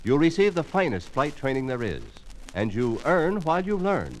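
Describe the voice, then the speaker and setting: A man's voice: fairly high pitch, sing-song, normal speed, a little hiss or hum, poor audio. An American man announcing a 1950s radio show in a formal, emphatic, scripted style, on a vintage recording.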